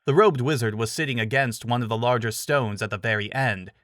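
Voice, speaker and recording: Mid-pitched man narrating, dry sound. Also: fairly quick, a bit bright, no room sound. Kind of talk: scripted dramatic story narration.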